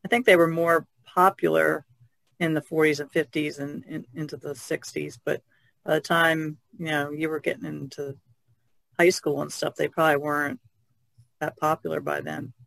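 A man chats casually on a podcast panel. His voice is fairly high and gravelly, with a bright edge. The sound is casual and conversational. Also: level tone, normal speed, dry recording, rough recording. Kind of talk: casual chat.

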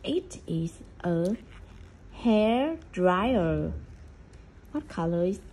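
Slow, soft-toned woman teaching. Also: lowish voice, animated, low-quality recording. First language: Vietnamese. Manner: short questions, repeating and correcting words. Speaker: mother teaching her child English. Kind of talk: prompting a child through a picture book. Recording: faint ambient noise, occasional clicks.

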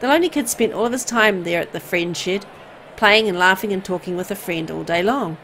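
A woman narrates a visual novel in an expressive storytelling way. Her voice sits at a medium pitch, with faint ambient noise behind it. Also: fairly quick. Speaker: video game player and narrator. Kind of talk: scripted narration, reading visual novel text aloud.

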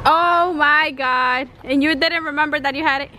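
A woman's voice: high voice, fairly even intonation, normal speed. A young mother in a backyard, playful and bossy with the kids as she chats through a casual family vlog. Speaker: vlogger, mother. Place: backyard outdoors. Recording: outdoor noise.

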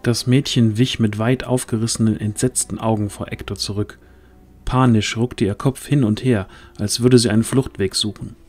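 A man's voice: fairly low pitch, steady tone, moderate pace. A man narrating a fantasy audio drama as a scripted storyteller.

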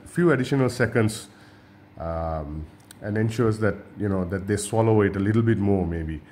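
A man from Sri Lanka with a fairly low voice walks through a fishing rig at a table, talking in a relaxed way and often repeating himself. He is recorded on a camera mic above his hands, with a faint room hum behind him. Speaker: fishing channel host. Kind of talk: hands-on tutorial.

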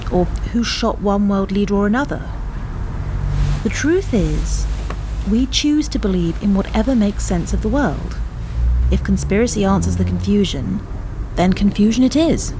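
A woman acts an earnest idealist in a radio play, always questioning. Her voice is of medium pitch, with clear ambient noise around it. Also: natural voice. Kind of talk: radio drama acting, dialogue.

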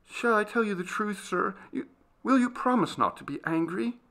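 Male reader as frightened young maidservant, storybook, raised pitch, faltering, pleading, meek, earnest. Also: animated, normal speed, vocal fry, a bit bright, heavily acted.

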